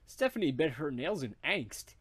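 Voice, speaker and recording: Man, narrator, expressive swooping pitch, comic story reading, dry close recording. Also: moderate pace, breathy edge, dry recording. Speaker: narrator, reader.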